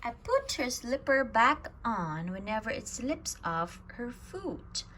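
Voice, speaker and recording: A woman reading a children's picture book aloud at storytime, telling it with an expressive, swinging voice. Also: a little high, slow pace, slight background noise. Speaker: storytime reader.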